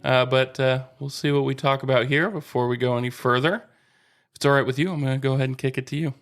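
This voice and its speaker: A man's voice: mid-range voice, fairly even intonation, fast. A man co-hosting a podcast in a casual, wry and teasing way. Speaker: podcast co-host. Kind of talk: casual chat.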